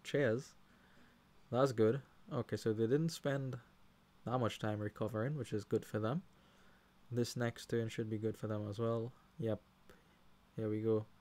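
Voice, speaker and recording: A man with a Trinidadian accent giving live commentary on a card game, talking to the players and cheering at big plays. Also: fairly low pitch. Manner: animated, talks to the players, shouts when excited.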